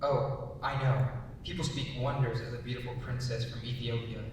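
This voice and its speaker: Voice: mid-range voice, steady tone, moderate pace, lightly acted. An actor playing an awkward, earnest young man of nineteen in a stage reading. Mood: awkward, polite. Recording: noisy echoey hall, far mic.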